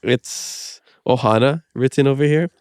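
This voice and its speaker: A man's voice: medium pitch, measured pace, a little mellow, clean tone, dry recording, clean studio sound. A man from Hawaii, whose first language is Hawaiian, hosting a podcast in casual, rambling chat full of "like" and "you know.". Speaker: podcast host.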